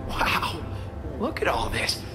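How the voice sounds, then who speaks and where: An actor playing a wisecracking, boyishly curious young hero in a video game. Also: male voice, on the high side, huge pitch swings, slow, over music, heavily acted. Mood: amazed, curious. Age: young adult. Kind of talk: video game dramatic acting.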